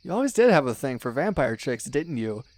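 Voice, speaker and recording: A man's voice: medium pitch, big pitch swings, a little fast, a little mellow, no room sound, natural voice. A young man playing a teasing, loyal best friend in a one-sided casual roleplay, chatty and slangy with little hesitations. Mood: admiring, nostalgic.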